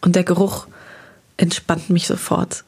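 A young adult woman, a restaurant critic, talking about food in a relaxed interview, lively and enthusiastic, in long flowing sentences. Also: on the low side, measured pace, gravelly, breathy edge.

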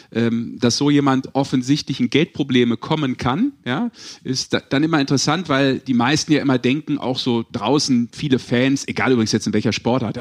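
A man hosting a casual sports podcast, chatting and teasing among colleagues. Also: medium pitch. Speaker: podcast host.

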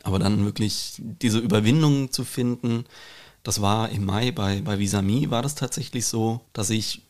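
A man's voice: fairly low pitch, moderate pace, a little mellow. A man talking in a casual podcast chat, reflective and informal, with English slang mixed in. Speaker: podcast host and painter.

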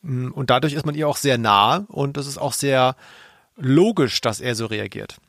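A man's voice: medium pitch, moderate pace, fairly bright tone, no room sound, studio quality. A man co-hosting a podcast in casual, analytical chat, often checking for agreement with little tag questions. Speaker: podcast co-host.

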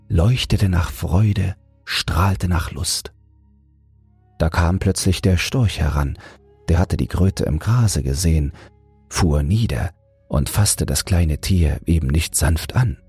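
Very husky, deep, slow male storyteller. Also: no room sound, faint music behind. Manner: gentle, measured storytelling. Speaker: audiobook narrator and podcast host. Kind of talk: soothing bedtime fairy-tale narration.

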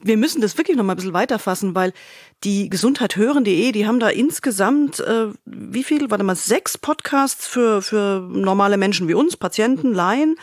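A woman's voice: mid-range voice, lively intonation, normal speed, dry recording, clean studio sound. A woman chatting spontaneously as a podcast co-host, in an emphatic, opinionated, colloquial way. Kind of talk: spontaneous conversational review.

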